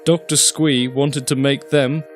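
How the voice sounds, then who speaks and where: A man's voice: mid-range voice, flat delivery, measured pace, soft background music. A man, a podcaster, reading scripted behind-the-scenes notes. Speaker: podcaster and cast member.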